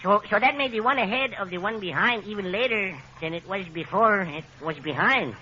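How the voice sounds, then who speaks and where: A man's voice: high voice, brisk, lo-fi sound, close to an everyday voice. An actor playing a cheerful, muddled Mexican handyman in 1940s radio comedy, with a Mexican accent and long-winded explanations. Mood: earnest, muddled. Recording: scratchy, band-limited 1940s radio recording.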